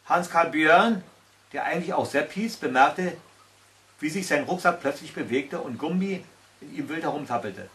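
Older man storyteller, higher-pitched voice. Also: expressive, normal speed, fairly bright tone. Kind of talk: reading a fairy tale aloud. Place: small indoor room by a tiled stove.

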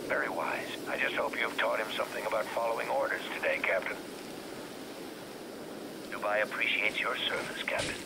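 An actor playing a cold, commanding colonel who passes judgment in a measured, solemn, formal way over the radio in a video game. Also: male voice, fairly low pitch, fairly even intonation, creaky, background noise. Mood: measured approval. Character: commanding colonel, cold judge of life and death. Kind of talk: video game cutscene acting.

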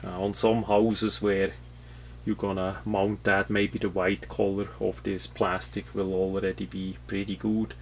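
A non-native English-speaking man from Switzerland with a Swiss accent, explaining a product off the cuff in a halting, matter-of-fact, rambling way. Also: low voice, flat delivery. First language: not English. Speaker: product reviewer. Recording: clear background noise with hum.

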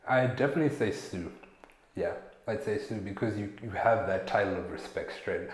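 Deep-voiced young man, relaxed and slangy. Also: normal speed. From Zimbabwe. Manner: relaxed, slangy, acts out coworkers' lines. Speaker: chef, interview guest. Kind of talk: casual interview talk. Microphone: lapel mic. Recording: echoey room.

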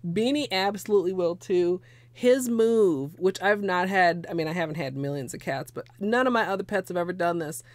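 Hoarse, chatty young woman. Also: fairly low pitch, moderate pace, dry recording. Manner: chatty, rambling, many "like" fillers. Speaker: nail art livestreamer. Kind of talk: casual livestream tutorial. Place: small home room with space heater.

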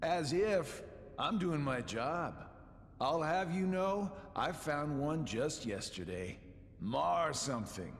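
Casting: sly middle-aged game villain, higher-pitched, slow teasing drawl, swooping delivery. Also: male voice, expressive, in a large hall. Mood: sly, casual. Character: sly, mocking middle-aged male schemer. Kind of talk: video game cutscene acting.

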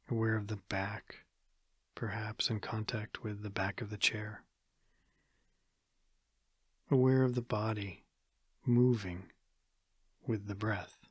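An American man guides a meditation in a calm, measured manner with long pauses. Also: on the low side, breathy edge, no room sound, studio quality. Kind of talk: guided body scan meditation.

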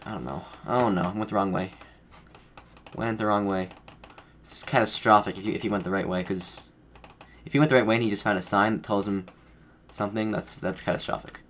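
A man's voice: on the low side, fairly even intonation, a little fast, long pauses, fairly bright tone, slight background noise. A man commentating his own game casually, rambling and correcting himself as he thinks aloud, his voice a bit off from feeling under the weather. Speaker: gaming streamer.

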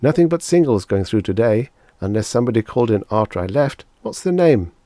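Man putting on a prim, high-voiced woman. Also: sing-song, fairly quick, warm tone, no room sound, studio quality, natural voice. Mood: chatty, businesslike. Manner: prim, light, raised voice. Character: prim, chatty woman office worker. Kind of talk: audiobook character acting.

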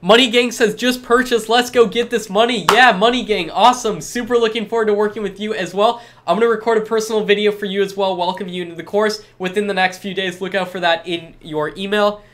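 A man's voice: high voice, fairly even intonation, a little fast, hardly any pauses, fairly bright tone. A young man with a stuffed-up cold, reading chat questions aloud and answering them in an upbeat, salesy way during a live stream from a small room. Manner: upbeat, repetitive salesy reassurances. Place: small room with lit backdrop.

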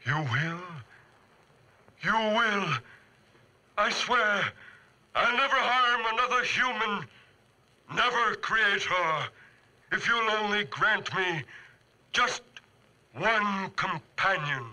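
A lonely man-made monster in an old radio horror drama. The voice is high and very forceful, drawn out very slowly, halting and imploring with an edge of menace. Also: lively intonation, heavily acted, rough recording. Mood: pleading. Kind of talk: 1940s radio horror acting. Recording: vintage 1940s radio recording.

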